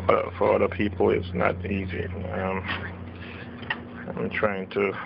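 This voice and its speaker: A man slowly walking through a hands-on circuit board repair at his workbench, explaining each step plainly. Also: on the low side, completely flat delivery, gravelly. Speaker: radio repair technician. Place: workbench, indoors. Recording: dull lo-fi camera audio with noise.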